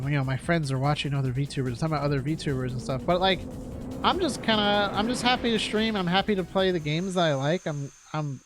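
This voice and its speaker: A young man with a slightly high voice and a stuffy nose, rambling thoughtfully with constant fillers and restarts. Loud game music plays behind him as he talks into a desk mic. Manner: halting, filler-heavy, reflective. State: congested nose. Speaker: streamer. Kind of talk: casual rambling stream chat.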